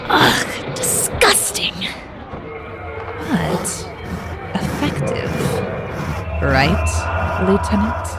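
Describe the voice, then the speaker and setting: A woman's voice: mid-range voice, huge pitch swings, drawn-out words, gravelly, pauses often, loud music behind the voice, a loud crowd, exaggerated voice. A woman playing a cruel, haughty orc warlord commander in a fantasy audio drama, with an imperious, sneering delivery. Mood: disgusted, sardonic.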